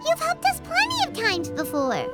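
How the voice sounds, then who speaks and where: A tiny, chirpy fairy companion from a video game. The childlike voice sits extremely high and bounces wildly in pitch. It is cheeky and loyal, with prominent music underneath. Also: female voice, wildly swooping pitch, normal speed, full character voice. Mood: cheerful, reassuring. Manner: speaks of self in third person, chirpy. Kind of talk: English video game voice acting.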